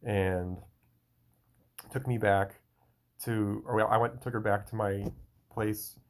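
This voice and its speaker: Young adult man, lowish voice, loose rambling solo talk with many restarts. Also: fairly even intonation, slow. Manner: rambling, frequent restarts and fillers. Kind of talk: casual solo monologue.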